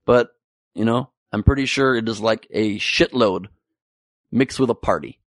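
A man's voice: on the low side, unhurried, dry recording, studio quality. A young man giving a comedic, profane monologue about the news with joking asides. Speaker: comedy commentary host.

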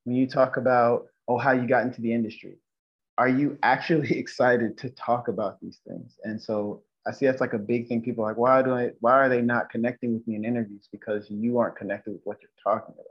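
A man's voice: mid-range voice, fairly even intonation, brisk, husky. A man, a designer and mentor, talking as a guest in a casual interview, giving earnest advice with many restarts and uses of "like". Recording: dull lo-fi audio.

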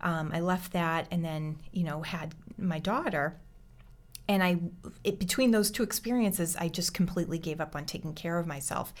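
A woman's voice: on the low side, moderate pace. A woman with a Boston-area accent, hosting a podcast and chatting in a reflective, storytelling way. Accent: Boston-area American. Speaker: podcast host. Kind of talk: casual chat.

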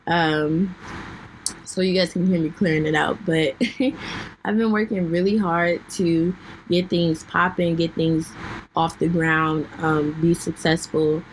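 A young woman hosting her own podcast, losing her voice and clearing her throat, talking candidly to listeners with many fillers. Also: fairly low pitch, level tone, slow pace, poor audio. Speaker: podcast host. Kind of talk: personal talk to listeners. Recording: faint background noise.

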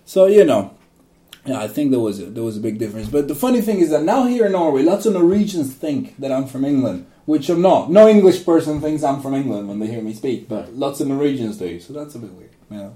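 Man from Uruguay, Spanish native speaker, very dark mellow voice, rambling with restarts, echoey room. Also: medium pitch, expressive, a little fast. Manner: rambling, frequent restarts and fillers. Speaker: guest. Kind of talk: relaxed interview answers.

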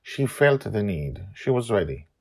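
A man voicing an earnest, calming girl in a storybook reading, with a measured manner. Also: fairly low pitch, vocal fry, husky, pitched above the performer's own voice, full character voice.

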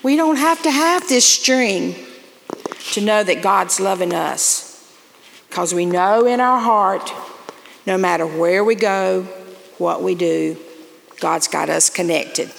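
A woman giving a gentle, coaxing children's message and prayer in church. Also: mid-range voice, slow, vocal fry, pauses often. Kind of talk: children's sermon. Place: church sanctuary. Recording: reverberant church sanctuary with faint noise.

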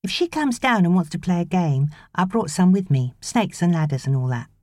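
A woman's voice: lowish voice, lively intonation, fairly quick, mellow, dry recording, studio quality, pitched above the performer's own voice, close to an everyday voice. A British woman voicing a chirpy, slangy sixteen-year-old babysitter girl in an audiobook. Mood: cheerful, confident.

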